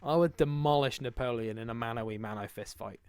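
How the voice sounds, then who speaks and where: A man's voice: mid-range voice, darkish tone, smooth, no room sound. Rambling young man, dry close sound. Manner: rambling, self-interrupting, quick to laugh. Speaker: podcast co-host. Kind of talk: casual chat.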